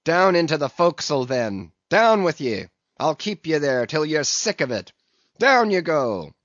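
A man's voice: fairly low pitch, big pitch swings, slow pace, gravelly, husky, no room sound, full character voice. A man voicing a furious, bullying middle-aged ship captain who barks orders. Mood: bellowing orders. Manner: barking orders, shouting. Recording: dull, dry lo-fi recording.

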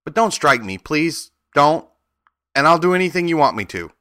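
A man's voice: medium pitch, sing-song, moderate pace, pushed and bright, no echo, clean studio sound. A man voicing a meek little old fraud of a wizard in a storybook, nervous and pleading in a trembling voice. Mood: meek, frightened.

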